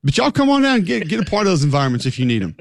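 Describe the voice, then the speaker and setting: A man's voice: sits high, big pitch swings, rapid-fire, vocal fry, no room sound, clean studio sound. A man hosting a sports talk show, speaking conversationally in an opinionated, emphatic way and throwing out rhetorical questions.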